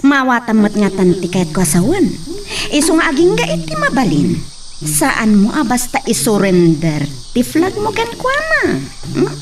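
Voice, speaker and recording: A woman's voice: fairly high pitch, wildly swooping pitch, moderate pace, recorded from a distance, crowd noise. A woman playing the heroine's playful best friend who teases her and drops English phrases. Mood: teasing. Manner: teasing, mixes English phrases. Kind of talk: melodrama acting.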